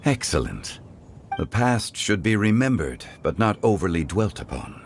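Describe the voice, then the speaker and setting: Game role: dignified, learned gentleman consultant, lowish register, measured and formal, with lively melodic range. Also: slight background noise. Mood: composed, wise. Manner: formal, measured, courtly phrasing. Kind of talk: scripted video game character dialogue.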